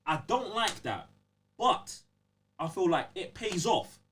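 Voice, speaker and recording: A London man co-hosting a casual gaming podcast. His fairly high voice swings around with a lot of animation, and his talk is slangy and emphatic. He sounds a little distant from the microphone. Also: sing-song, slow, a bit bright. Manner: slangy, emphatic, repeatedly checking the listener follows. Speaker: podcast co-host. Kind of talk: casual game review chat.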